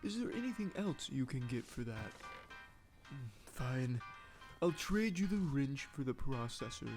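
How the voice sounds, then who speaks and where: Eccentric junkyard tinkerer from a video game, excitable, halting, slow, voice goes up and down, music behind. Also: male voice, mid-range voice. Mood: bargaining. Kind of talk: video game character acting.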